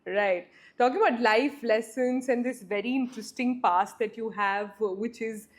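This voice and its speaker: A woman's voice: on the high side, normal speed. A woman hosting an interview, teasing her guest and backing him up with frequent "hmm"s. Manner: teasing, many "hmm" backchannels. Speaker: interview host.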